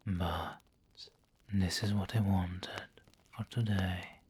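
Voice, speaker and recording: Man playing a slow, whispering, low vampire lord. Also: fairly even intonation, darkish tone, slight background noise. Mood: firm, coaxing. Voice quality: breathy, whispery. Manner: slow, genteel, coaxing endearments. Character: refined, doting male vampire lord, possessive and indulgent. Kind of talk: ASMR roleplay.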